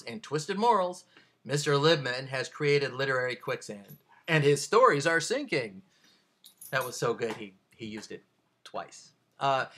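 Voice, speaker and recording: A man speaking casually to a camera as an author, in a halting, digressive way with dry, self-deprecating deadpan humour. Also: medium pitch. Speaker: author reading a bad review of their own book. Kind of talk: casual video monologue with read-out excerpts.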